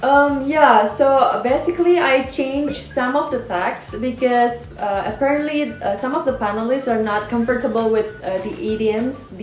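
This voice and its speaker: A woman's voice: a little high, a little slow, few pauses, faint music behind. A young woman with an Indonesian accent speaking English politely and carefully on a video call. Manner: polite and careful, with some hesitant fillers. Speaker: graduate student speaker. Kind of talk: video call and formal speech. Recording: distant, echoey video call audio.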